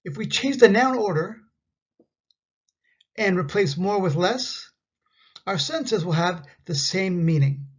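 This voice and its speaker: Husky Canadian man teaching English. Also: high voice, expressive, lo-fi sound. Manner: slow, carefully enunciated example sentences. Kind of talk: scripted grammar lesson.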